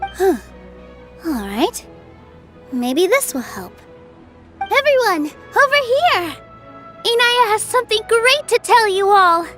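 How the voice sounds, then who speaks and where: A woman voicing a gentle, encouraging young woman in a video game, soothing and upbeat. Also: very high voice, very animated, slow pace, long pauses, faint music behind, full character voice. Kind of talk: animated video game voice acting.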